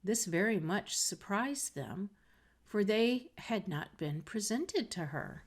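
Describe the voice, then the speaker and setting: A woman reading a fairy tale aloud as a storyteller, in a measured, expressive way. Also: medium pitch. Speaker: storybook reader. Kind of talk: scripted read-aloud narration of a fairy tale.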